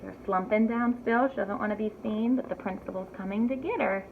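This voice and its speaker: A woman reading a story aloud to young children in an animated teacherly way, pausing to ask them simple questions. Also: medium pitch. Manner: addresses young listeners directly with simple questions. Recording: thin phone-like audio with clear background noise.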